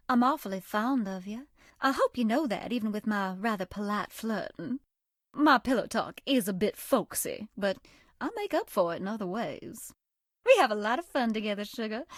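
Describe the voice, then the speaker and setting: Sweet, high-voiced Southern belle. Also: female voice, dry recording, studio quality. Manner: coy, folksy, old-fashioned endearments. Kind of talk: dating game character voice acting.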